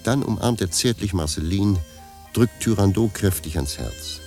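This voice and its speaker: Really deep male narrator, deadpan, reading a literary radio play, faint music around. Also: moderate pace.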